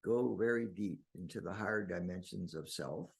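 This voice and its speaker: A man leading a guided meditation in slow, deliberate phrases with long pauses and a husky, gravelly voice. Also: low-pitched.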